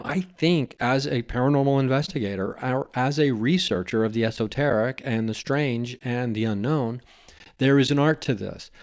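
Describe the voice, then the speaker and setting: A man hosting a podcast in a casual, reflective monologue, musing haltingly and stretching out phrases for emphasis. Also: medium pitch, a little mellow. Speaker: podcast host and stand-up comedian.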